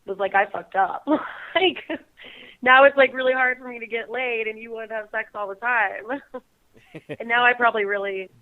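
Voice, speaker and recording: A woman's voice: fairly high pitch, steady tone, normal speed. Giggly woman on a tinny phone line. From Bay Area, California. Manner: giggly, laughs often between phrases. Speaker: guest. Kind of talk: casual phone interview. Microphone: phone call.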